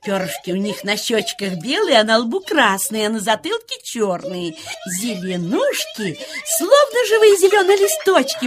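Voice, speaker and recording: A woman voicing a kindly auntie squirrel who tells tales with rapturous expression in a children's audio play. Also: sits high.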